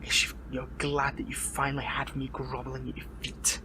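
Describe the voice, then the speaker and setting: A man playing a bitter, defiant captured superhero in an audio roleplay, speaking in a strained, halting, taunting way. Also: medium pitch, monotone, in a whisper, natural voice. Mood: bitter, sarcastic. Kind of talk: dramatic audio roleplay monologue.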